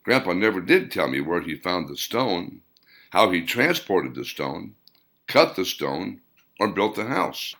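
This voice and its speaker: A man reading scripted audiobook fiction in a deliberate storytelling manner, with dramatic emphasis. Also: sits low, very animated, fairly bright tone. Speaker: author reading own novel.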